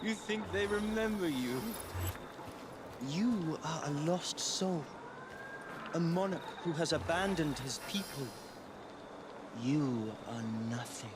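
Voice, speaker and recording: A man's voice: a little high, expressive, noisy background. An actor playing a cruel, gloating company man in a video game, taunting and contemptuous. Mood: scornful. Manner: taunting, contemptuous, drawn-out delivery. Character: cruel, gloating English villain, man working for a colonial company. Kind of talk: video game cutscene acting. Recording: dramatic music behind. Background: cinematic music.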